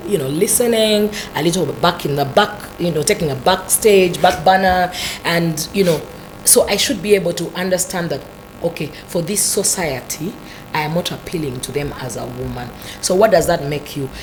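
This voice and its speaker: A Ugandan woman with a deep voice, a talk show guest explaining her ideas thoughtfully with many "you know" asides. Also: normal speed, a little hiss or hum, poor audio.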